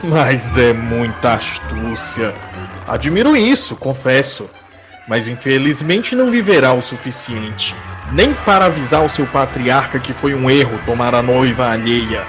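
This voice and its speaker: A man's voice: mid-range voice, expressive, measured pace, music in the background, close to an everyday voice. A man with a Brazilian accent playing an arrogant warrior king in an audio drama, sneering and declaiming his challenges. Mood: mocking, menacing.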